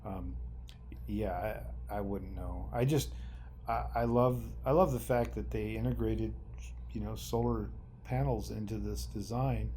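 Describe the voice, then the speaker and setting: A man's voice: fairly low pitch, a little slow, mellow, a little hiss or hum. A man co-hosting a podcast in casual chat, speaking hesitantly with many ums and trailing phrases. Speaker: podcast co-host.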